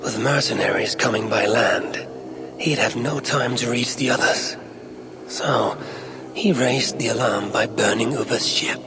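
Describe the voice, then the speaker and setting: An actor playing a proud, steady Norse warrior leader in a video game, with a gravelly voice and measured, resolute delivery. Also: male voice, medium pitch, over music, close to an everyday voice. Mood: brooding. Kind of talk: dramatic video game acting.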